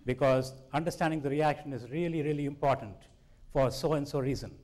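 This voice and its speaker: Man, Indian accent, middle-aged, public science lecture, self-deprecating, lively emphasis. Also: mid-range voice, fairly quick.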